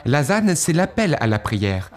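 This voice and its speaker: A man giving an earnest first-person testimony, storytelling with dramatic pauses as he recounts what he thought and felt. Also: a little high, very animated.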